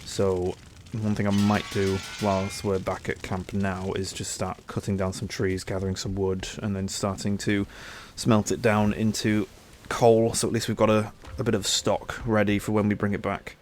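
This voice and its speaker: A man's voice: on the low side, level tone, moderate pace, hardly any pauses. A young man with a stuffy nose from a cold, chatting easygoingly as he plays a game and thinks aloud. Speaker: gaming channel host. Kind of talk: casual gameplay commentary.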